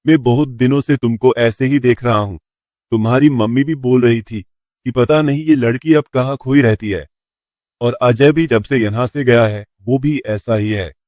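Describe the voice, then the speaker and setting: A man's voice: mid-range voice, normal speed, darkish tone, no room sound, studio quality, natural voice. A man playing a blunt but caring young village cousin in a story. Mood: concerned. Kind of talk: storybook character dialogue.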